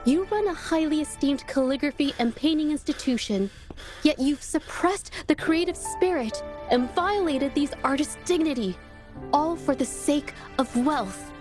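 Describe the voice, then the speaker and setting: A young woman painter from an anime-style game in a high, girlish register. She is shy yet earnest, speaking with heartfelt sincerity. Clear background music plays under her words. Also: animated, a little slow. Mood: firm accusation. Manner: soft-hearted, earnest, hesitant when flustered. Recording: music behind the voice. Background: game soundtrack music.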